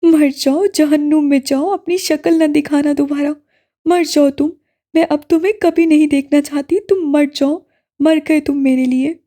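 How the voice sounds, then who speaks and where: A woman's voice: high voice, steady tone, dark tone, lightly acted. A woman voicing a young woman pleading with her brother, then crying out and cursing him, in an audiobook. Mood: screaming. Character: young woman, distraught pleading elder sister. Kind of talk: audiobook character voice.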